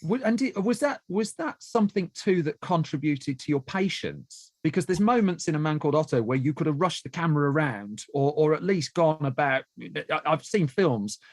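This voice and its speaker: Man, podcast host, eager, stammering restarts, slightly high voice, dry close sound. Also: lively intonation, normal speed, few pauses, no room sound. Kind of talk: casual interview chat.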